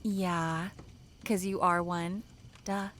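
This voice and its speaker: A woman's voice: fairly low pitch, fairly even intonation, very slow, a bit bright. A woman playing a sassy, ambitious 22-year-old camp counselor in an audio drama, blunt and teasing.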